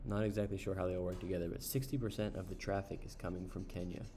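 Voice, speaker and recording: A man casually reviewing an online service while browsing its pages, unhurried, with frequent ums and uhs. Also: low voice, level tone, mellow, slight background noise. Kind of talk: casual screen-share review.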